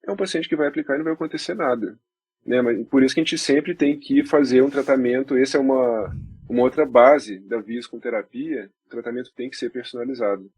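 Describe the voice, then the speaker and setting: A Brazilian man, a doctor guest on a podcast, explaining in a measured way and restarting phrases as he goes. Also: mid-range voice, darkish tone, husky, no echo. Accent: Brazilian Portuguese.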